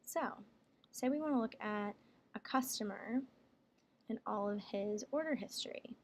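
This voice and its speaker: A woman working as a software trainer, explaining each step plainly in a casual screen tutorial. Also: mid-range voice, measured pace. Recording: clear background noise, room ambience.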